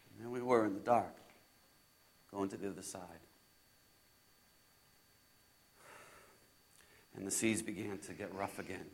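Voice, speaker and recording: A man playing an impulsive disciple in a first-person dramatic Bible monologue, halting and repeating his phrases as he swings between hushed and loud delivery. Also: high-pitched, lively intonation. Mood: hushed, tense.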